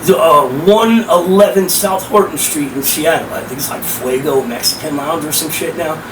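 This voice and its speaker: A middle-aged man with a hoarse, powerful voice, telling stories emphatically as a podcast guest. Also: on the high side, normal speed. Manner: animated, emphatic, profane. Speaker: guest, rap host and promoter. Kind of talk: casual podcast storytelling. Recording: roomy, distant mic with air-conditioning hum.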